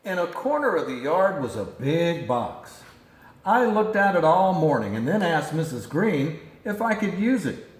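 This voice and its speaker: A man reading a children's picture book aloud in an animated storytelling style. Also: fairly high pitch, reverberant. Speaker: storybook reader.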